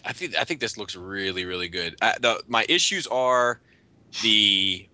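Guy hosting a podcast, deep voice but really punchy and bright, just chatting, swears a bit. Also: expressive, unhurried, no room sound. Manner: profane. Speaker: podcast host. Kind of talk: casual chat, panel discussion of toy news.

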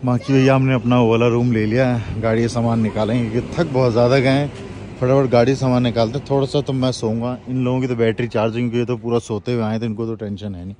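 A man's voice: medium pitch, flat delivery, quick pace, dark tone, a little hiss or hum. A man in his thirties, a travel vlogger, chatting casually and exclaiming over the scenery, filming himself outdoors on a phone at arm's length. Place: outdoors on a mountain road.

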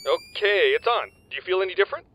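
A man's voice: high voice, huge pitch swings, fast, background noise. An actor playing a nerdy, uptight male soldier who talks in a fussy, exasperated, sarcastic way.

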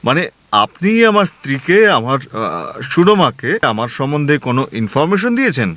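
A man's voice: mid-range voice, sing-song, normal speed, a bit bright, dry recording. Man playing a flustered, gullible young husband. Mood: nervous deference. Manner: hesitant, stammering, flustered. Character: timid, gullible newly married husband, anxious and flustered. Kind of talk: Bengali comic audio drama acting.

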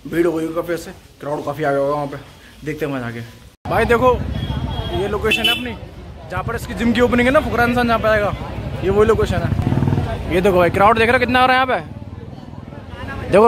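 A man's voice: high-pitched, a little fast, pauses often. A young man from India talking casually to his viewers as a vlogger, filming on a phone outdoors on a busy city street. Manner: chatty, addresses viewers as brother; shouts over a pushing crowd. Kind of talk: casual vlog chat. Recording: loud crowd and street noise.

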